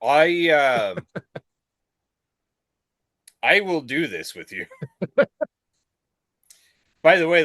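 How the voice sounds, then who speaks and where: A man's voice: medium pitch, fairly bright tone, dry recording, clean studio sound. A man co-hosting a podcast in casual chat, laid-back and wry, swearing casually. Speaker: podcast co-host.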